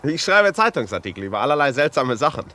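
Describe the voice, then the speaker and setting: A male actor playing a curious, easygoing journalist in a German radio play, chatty and animated in delivery. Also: medium pitch, quick pace, slight background noise. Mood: breezy, curious. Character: breezy, curious journalist, amused and reassuring.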